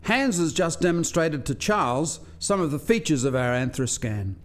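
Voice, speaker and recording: An actor playing an articulate alien scientist in a radio play, explaining things precisely as if lecturing a visitor. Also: male voice, on the high side, sing-song, normal speed. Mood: explanatory.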